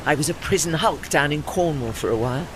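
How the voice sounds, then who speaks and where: A man in an audio play acting as a refined, melancholy old retired warship with gentle dignity and formal, genteel speech. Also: fairly high pitch, animated, fairly quick, background noise, close to an everyday voice. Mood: wistful, resigned.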